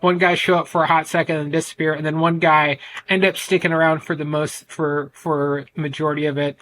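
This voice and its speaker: Loud american guy on a podcast, kinda high voice, talks a lot, restarts his sentences, rambling and candid. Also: poor audio. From United States. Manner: loud, talkative, frequent restarts and fillers. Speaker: guest, men's ADHD coach. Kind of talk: candid conversational storytelling.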